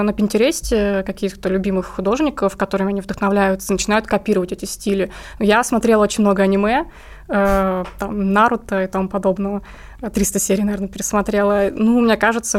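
A woman's voice: medium pitch, fairly even intonation, quick pace. A woman speaking casually as an interview guest, talking about her own work as an illustrator.

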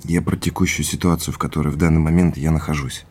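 An actor playing a troubled, stubbornly independent businessman in naturalistic audio drama, speaking in a low-key, hesitant way. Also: little pitch movement, fast, darkish tone. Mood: weary, downcast.

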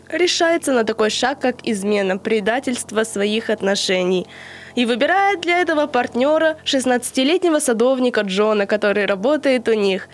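A woman in her early twenties co-hosting a podcast, explaining a topic in a measured, lecture-like way with occasional hesitations. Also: high-pitched. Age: early 20s. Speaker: podcast co-host presenting the theory segment. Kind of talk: explaining from prepared notes, then casual chat.

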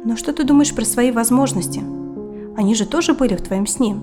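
High-voiced woman, measured therapist. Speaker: psychotherapist leading psychodrama. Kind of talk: guided therapy session and explanation. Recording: music behind the voice.

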